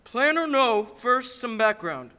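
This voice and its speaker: A man playing a patient, authoritative superintendent of angels in a radio play, explaining things in a measured briefing manner. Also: extremely high pitch, very animated, pushed and bright, clean tone, exaggerated voice. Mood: patient, instructing. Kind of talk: 1940s radio play acting. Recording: stage radio-play performance.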